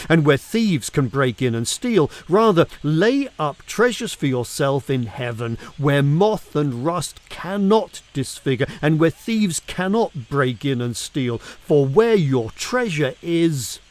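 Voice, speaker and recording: A man reading scripture aloud in an expressive, dramatic way, deliberate and emphatic, with weighted pauses. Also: mid-range voice. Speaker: reader of scripture.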